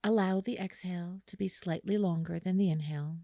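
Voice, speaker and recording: A woman guiding a listener through an imagery meditation in a slow, soothing way, with long pauses. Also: on the low side, mellow, no echo, studio quality. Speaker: meditation guide. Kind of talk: guided imagery meditation narration.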